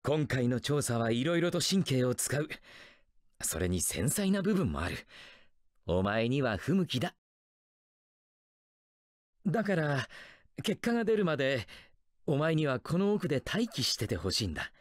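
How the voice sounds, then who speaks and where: A man's voice: medium pitch, big pitch swings, a little slow, long pauses, no room sound, clean studio sound. A man playing a stern, protective adult ninja teacher in an anime game, speaking earnestly and firmly. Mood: stern, guarded. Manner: earnest, firm, admonishing. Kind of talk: dramatic anime game acting.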